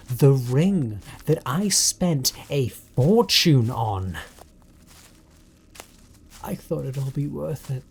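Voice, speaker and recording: A man with a British accent playing an obsessive, bitter spurned stalker in a horror audio roleplay, speaking in a deliberate, coldly taunting way. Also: mid-range voice, a little mellow, a little hiss or hum, rough recording. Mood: bitter reproach.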